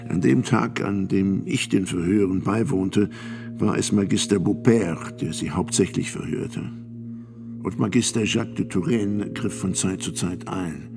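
An actor playing a fearful, solemn sixty-year-old priest and physician, giving deliberate, grave testimony in a radio play. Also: male voice, low voice, creaky, music in the background. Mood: grave, uneasy. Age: older.

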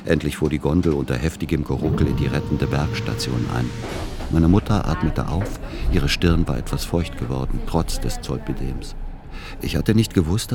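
A man playing a world-weary writer who narrates as a son in a literary radio play, measured and dry. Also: a bass voice.

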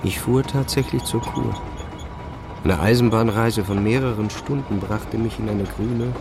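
Haunted young male physicist, introspective first-person audio-drama narration, gravelly fry, music behind. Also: lowish voice. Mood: absorbed, brooding. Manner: introspective, halting, intense. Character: obsessive, haunted young male physicist telling his story.

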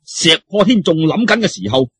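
A man narrates martial arts fiction with a Cantonese accent, chatting straight to his listeners. His voice is husky and swings widely in pitch, recorded close and dry. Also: very animated, moderate pace, a bit bright, dry recording. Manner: colloquial, interjecting, addresses listeners. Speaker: storyteller.

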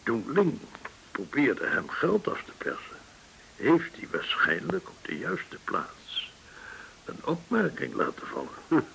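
An actor playing a courteous, shrewd, well-connected informant in a Dutch radio drama, speaking in a formal, deliberate way. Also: male voice, mid-range voice, wildly swooping pitch, vocal fry, a bit bright, full character voice, low-quality recording. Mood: measured explaining. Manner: formal, measured, deliberate explaining. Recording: hissy old radio recording.

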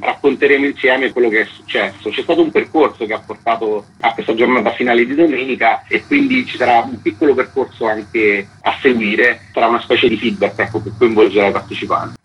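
A man explaining a live role-play game as a guest in a radio interview, calm and measured. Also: mid-range voice, husky. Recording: dull radio broadcast.

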